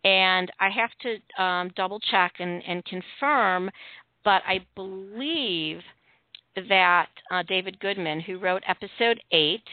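A woman with a Midwestern accent hosting a casual chat, talking fast with many ums and restarts. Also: mid-range voice, no room sound. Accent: Midwestern American. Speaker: host. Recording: tinny phone-like line.

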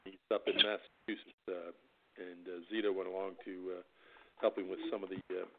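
A low-voiced male host talks haltingly, filling gaps with drawn-out pauses. His voice comes through a thin, phone-like line. A steady hiss of noise sits under his words. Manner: hesitant, heavy on filler sounds. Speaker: podcast host. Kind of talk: casual chat.

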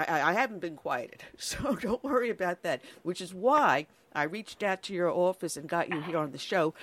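An older woman from New York hosting a conservative talk radio show, speaking earnestly and conversationally. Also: fairly low pitch.

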